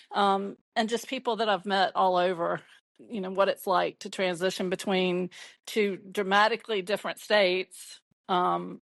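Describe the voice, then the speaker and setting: A woman's voice: medium pitch, dry recording. A woman with a Southern US accent from Mississippi, chatting easily as a podcast guest and laughing often. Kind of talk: casual interview chat.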